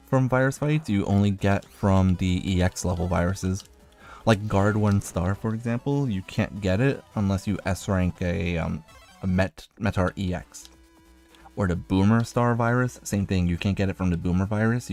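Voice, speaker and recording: A man's voice: sits low, a little mellow. A man giving casual video game commentary as a streamer, rambling and thinking aloud as he plays.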